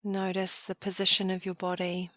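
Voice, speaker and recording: A woman leading a guided meditation in a calm, gentle way, with soft trailing phrases. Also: fairly low pitch, normal speed, dry recording, clean studio sound. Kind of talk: guided meditation, slow soothing narration.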